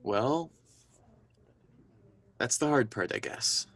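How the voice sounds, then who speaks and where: A man acts out a hesitant young lover in a naturalistic audio drama. His delivery is slow, earnest and understated, in a middle register. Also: mid-range voice, lively intonation, fairly bright tone. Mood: earnest, subdued. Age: young adult. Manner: halting, understated. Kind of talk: intimate naturalistic radio drama acting.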